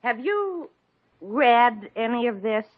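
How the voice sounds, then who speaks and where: Sardonic landlady, lively highish voice. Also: takes it slowly, full character voice. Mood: nosy, wry. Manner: dry, sardonic, punchy. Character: dry, sardonic rooming-house landlady with teasing zingers. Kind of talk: 1950s radio comedy acting. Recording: dull old-time radio recording.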